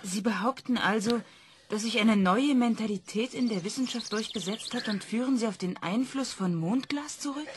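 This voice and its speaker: Radio play: actress as a level-headed reporter; cool, pointed questions, faint background noise. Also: female voice, fairly high pitch, normal speed, lightly acted. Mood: probing. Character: probing female journalist, level-headed. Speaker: interviewer for a publication.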